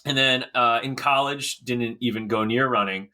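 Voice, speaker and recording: Guy from connecticut being interviewed, bright punchy voice, chatty, stops and starts a bit. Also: medium pitch, steady tone, normal speed. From Connecticut, USA. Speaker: podcast guest, race director. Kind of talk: casual interview chat.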